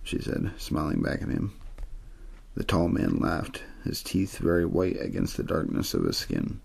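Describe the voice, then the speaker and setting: A man reading a scripted story in ASMR style, with a plain, soothing, untheatrical delivery and a husky, gravelly voice. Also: low voice, big pitch swings, measured pace, darkish tone. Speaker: audiobook-style story reader.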